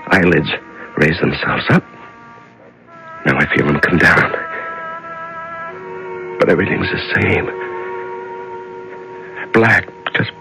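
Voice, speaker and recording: An actor playing a frightened, lonely man locked in the dark, muttering haltingly to himself in a 1970s radio drama. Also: fairly low pitch, vocal fry, full character voice, poor audio. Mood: dazed. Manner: halting, muttering to himself, swinging from whispers to cries. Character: frightened lonely man locked in solitary darkness, clinging to sanity. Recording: vintage radio drama recording with music.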